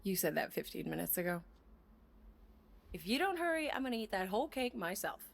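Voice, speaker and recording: A woman playing a warm, teasing mother of a teenage boy in a video game, with a husky, breathy voice. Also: medium pitch, sing-song, a little fast. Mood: playful teasing. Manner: teasing, affectionate. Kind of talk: naturalistic video game acting.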